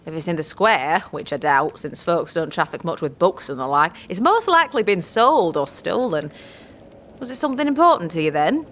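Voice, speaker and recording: Woman playing an earthy, low-voiced old healer woman. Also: sing-song, moderate pace, fairly bright tone. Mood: teasing. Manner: folksy slang, musing and teasing. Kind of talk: dramatised fantasy audio series dialogue.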